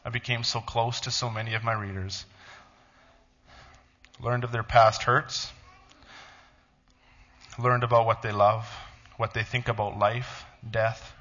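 A man, a pastor, reading a blog aloud to his church in a halting, emotional voice. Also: mid-range voice, level tone, bright, forceful tone, lo-fi sound. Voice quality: tearful, halting.

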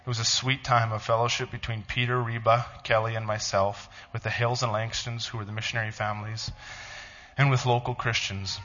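A man, a pastor, reading a blog aloud to his church in a halting, emotional voice. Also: medium pitch, little pitch movement, bright, forceful tone, dull audio. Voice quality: tearful, halting.

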